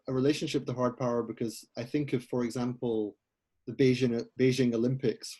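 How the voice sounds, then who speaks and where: A man's voice: mid-range voice, darkish tone. Man asking tentative, exploratory questions. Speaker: host. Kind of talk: conversational interview.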